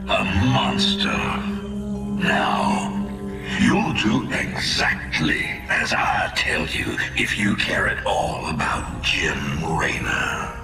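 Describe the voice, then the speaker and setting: A low, very slow-spoken tyrant, smug and imperious, with big theatrical swings in his threats. He sounds echoing and remote over game music. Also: huge pitch swings, recorded from a distance, exaggerated voice. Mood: gloating, threatening.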